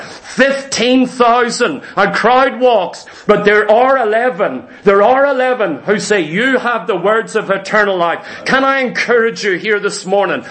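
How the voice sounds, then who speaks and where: A man's voice: very high voice, a bit bright. A man preaching a church sermon in an exhorting way, with rhetorical questions, emphatic repetition and bits of imagined dialogue.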